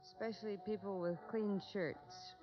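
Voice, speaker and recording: Hard-bitten woman in her twenties, played by an actress, guarded, mid-pitched, muffled vintage radio recording. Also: fairly even intonation, moderate pace, faint music behind, lightly acted. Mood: defiant, suspicious. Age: 20s. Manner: guarded, tough, slangy. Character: hard-bitten, wary young woman, petty thief. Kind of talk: 1950s radio drama acting.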